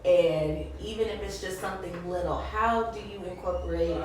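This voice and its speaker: Woman interviewer chatting casually, pretty high voice, super echoey and a bit far from the mic. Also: normal speed, darkish tone, a little hiss or hum. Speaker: interviewer, show host. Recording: echoey room, distant microphone.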